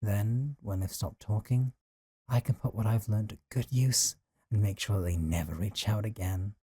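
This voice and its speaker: A man's voice: fairly low pitch, moderate pace, warm tone, breathy edge, no echo, clean studio sound. A man acting a possessive, lovesick young man in an intimate audio roleplay, talking in a casual, teasing, conversational way with sighs. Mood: quietly scheming. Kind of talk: intimate audio roleplay drama, one side of a call.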